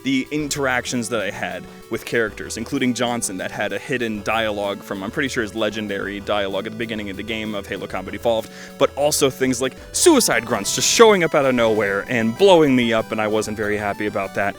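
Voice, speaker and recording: A man's voice: fairly low pitch, fast, few pauses, soft background music. A man giving casual, rambling video commentary as he reviews games, with dry joking asides and frequent self-interruptions. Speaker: video creator reviewing fan-made games.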